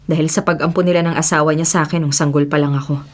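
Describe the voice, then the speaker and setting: A woman's voice: low voice, steady tone, rapid-fire, natural voice. A young woman acting an earnest, principled policewoman and devoted daughter in a radio drama. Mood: earnest, concerned.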